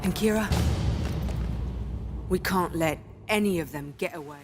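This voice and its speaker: A woman acts out a gritty, driven gang leader for a video game. She speaks fairly low, in clipped commands. Music runs clearly underneath. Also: a little slow, close to an everyday voice. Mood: terse, commanding. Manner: terse, commanding. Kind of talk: cinematic video game acting.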